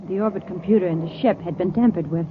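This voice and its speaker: A woman's voice: fairly low pitch, fast talker, lightly acted. Lady acting as composed secret space agent, matter of fact, old radio serial, dull sound with hiss. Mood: businesslike. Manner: crisp, matter-of-fact reporting. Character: composed, capable female undercover space agent. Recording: muffled, noisy old radio recording.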